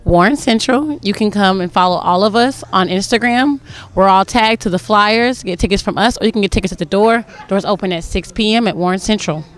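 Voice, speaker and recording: A soft-spoken young woman with a smooth, clear voice. She answers a bit hesitantly and sometimes lets her sentences trail off. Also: mid-range voice, fairly quick. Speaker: stage actress. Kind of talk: casual interview.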